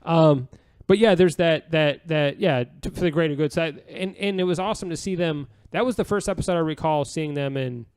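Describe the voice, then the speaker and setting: A man's voice: on the high side, fairly quick, few pauses, a little mellow, clear, resonant tone, no echo. A man chatting casually as a podcast host. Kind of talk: casual chat. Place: podcast studio.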